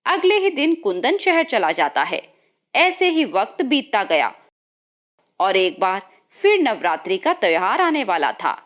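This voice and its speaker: A woman's voice: medium pitch, very animated, unhurried, fairly bright tone. A woman reading a scripted moral story as its narrator.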